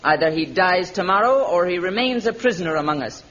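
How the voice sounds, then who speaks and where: A woman's voice: lowish voice, animated, fairly quick, a bit bright. A woman playing a proud, imperious Spanish queen of man-hating women in a vintage radio adventure, haughty and commanding. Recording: dull vintage radio recording.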